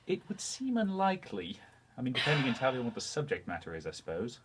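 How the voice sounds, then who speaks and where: Man as a courteous demon fighter, wry, husky, expressive pitch, polite understatement, audio drama. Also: fast talker. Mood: wry, amused.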